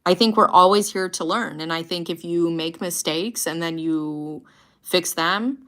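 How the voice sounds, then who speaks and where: Chatty woman in her thirties, bright voice. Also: on the low side, unhurried. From United States. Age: 30s. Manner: chatty, swearing, laughing often. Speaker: livestream host. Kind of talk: casual livestream chat reading comments.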